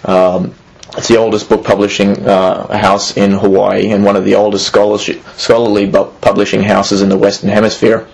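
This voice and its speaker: A man's voice: lowish voice, level tone, normal speed, gravelly, darkish tone, poor audio. A man in his thirties, originally from Australia, answering interview questions hesitantly with many fillers and restarts. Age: 30s. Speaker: museum executive, interviewee.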